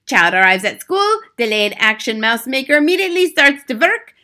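Storybook old witch queen, high, piercingly bright, commanding, bloodthirsty, triumphant, broken foreign-sounding grammar. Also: lively intonation, unhurried, exaggerated voice. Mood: gloating, triumphant. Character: gloating, wicked old witch leader, imperious and bloodthirsty.

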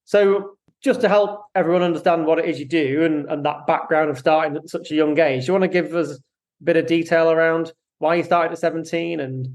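Male host, slightly higher voice, friendly and halting with restarts. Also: steady tone, a little mellow. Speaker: podcast host. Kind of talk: interview hosting.

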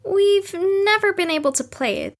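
A woman's voice: very high voice, expressive, moderate pace. A woman playing a kind, eager young girl in an audio drama. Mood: friendly, curious.

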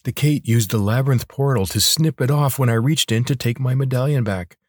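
A man's voice: mid-range voice, a little fast, dry recording, clean studio sound, pitched above the performer's own voice, natural voice. A man voicing a small, loyal, fretful lizard creature in an audiobook, with formal, deferential phrasing. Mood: reassuring. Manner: formal, deferential phrasing addressing a master as sir. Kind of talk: audiobook character voice.

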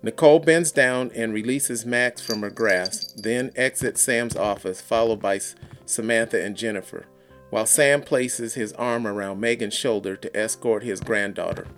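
A man narrating an audiobook in a deliberate read-aloud, occasionally stumbling over words. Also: fairly low pitch, a bit bright, soft background music. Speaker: audiobook reader.

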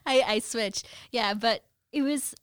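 A woman's voice: on the high side, unhurried, smooth, no echo, studio quality. A woman in her twenties from Guyana, a podcast guest talking in a bubbly, casual way and laughing often. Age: 20s. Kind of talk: casual interview chat.

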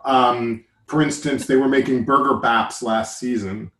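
A man's voice: medium pitch, fairly even intonation, a little slow, fairly bright tone. Joking, rambling American man in a roomy space. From United States. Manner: joking, rambling, self-interrupting. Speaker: podcast host. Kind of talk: casual chat.

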